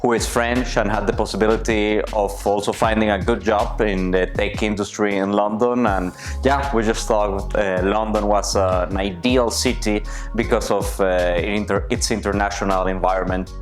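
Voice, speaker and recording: A man's voice: fairly low pitch, steady tone, moderate pace. Thoughtful man, faint music behind. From Colombia. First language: Spanish. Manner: thoughtful, searching for words. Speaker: interviewee. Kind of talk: personal interview answers.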